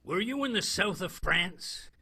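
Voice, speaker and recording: Male actor as chummy 1920s veteran investigator, high-pitched, very sharp forceful tone, earnest, radio-play delivery. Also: animated, a little slow, heavily acted. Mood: probing. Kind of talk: improvised 1920s radio soap opera acting.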